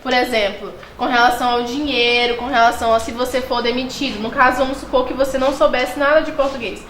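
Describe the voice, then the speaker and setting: A woman interviewer asking careful, measured questions. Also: a little high, fairly even intonation, fairly bright tone, reverberant, slight background noise.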